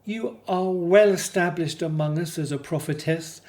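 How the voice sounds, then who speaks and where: A man's voice: fairly high pitch, moderate pace, a little mellow, natural voice. Actor as earnest, hopeful Hebrew family man. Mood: hopeful, reassuring. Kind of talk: scripted radio drama acting.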